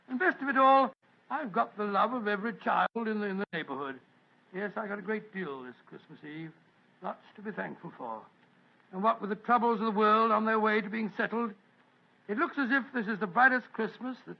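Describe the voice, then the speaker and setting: An actor playing a bluff, kindly older doctor in an old radio play, hearty and stammering, on a dull lo-fi recording. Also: male voice, high-pitched, vocal fry. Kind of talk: 1940s radio acting.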